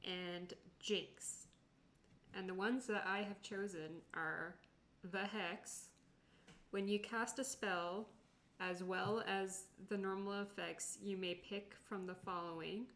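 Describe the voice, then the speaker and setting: A woman's voice: mid-range voice, fairly even intonation, slow, a bit bright. A woman reading out her character's options as a player in a tabletop game. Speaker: tabletop role-playing player.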